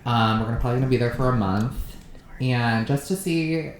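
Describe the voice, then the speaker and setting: A man's voice: mid-range voice, fairly quick, reverberant, a little hiss or hum, low-quality recording. A man co-hosting a podcast, talking casually with lots of fillers and restarts, into a podcast mic indoors. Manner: chatty, frequent fillers and restarts. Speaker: podcast co-host. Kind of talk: casual chat. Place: indoor room, recording in person.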